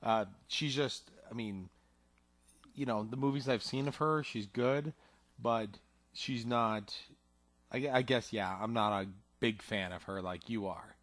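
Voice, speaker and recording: A man's voice: medium pitch, measured pace, a bit bright, no room sound. A man co-hosting a casual chat show, speaking hesitantly and searching for words. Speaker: podcast co-host.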